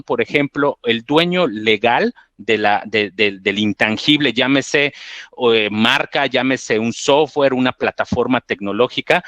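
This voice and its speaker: A man's voice: medium pitch, a bit bright, no echo. A man with a Mexican accent explaining technical material in a professional webinar, in a didactic way. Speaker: webinar presenter, transfer pricing specialist.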